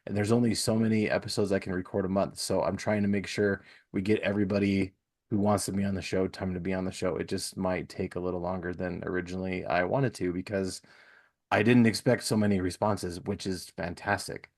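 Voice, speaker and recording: A man's voice: low-pitched, level tone, brisk, a little mellow. A man hosting a podcast, chatting casually and giving plugs in an informal, enthusiastic way. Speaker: podcast host.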